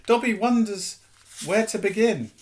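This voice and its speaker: A man voicing a high-pitched, servile male house elf who speaks earnestly and fawningly in an audiobook. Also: a little slow, a little mellow. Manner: earnest, fawning, says sir constantly. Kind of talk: audiobook character voice.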